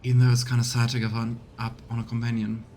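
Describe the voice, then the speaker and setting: Man streaming game commentary, faint rumble. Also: completely flat delivery, fast, mellow. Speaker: let's play streamer. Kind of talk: casual game commentary, reading dialogue aloud.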